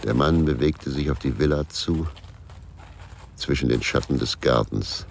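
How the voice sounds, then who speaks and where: An actor playing a brooding, weary male ex-policeman in a radio crime drama, gravelly, sombre and measured. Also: extremely low pitch, a little hiss or hum, exaggerated voice. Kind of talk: radio crime drama, introspective narration and dialogue.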